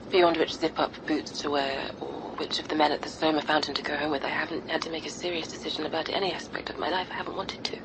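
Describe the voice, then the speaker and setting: Radio drama monologue for an actress: sheltered young woman, bewildered and broken, deep for a female voice, clear background noise. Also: close to an everyday voice. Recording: clearly noisy background ambience.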